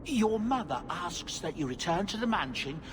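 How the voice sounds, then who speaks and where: Dwarf manservant, fantasy game: very lively intonation, polite, fussy, deferential, over clear background noise. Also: male voice, high-pitched, moderate pace. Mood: humble, grateful. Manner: courteous, formal, eager to please. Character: fussy, deferential bearded dwarf merchant, grateful father. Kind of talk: video game cutscene acting.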